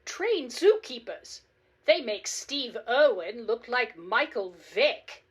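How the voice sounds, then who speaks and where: A woman's voice: a little high, very animated, takes it slowly, breathy edge, heavily acted. A woman playing a brash, determined, reckless woman zookeeper in a radio play, with an emphatic, theatrical delivery. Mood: brash, confident.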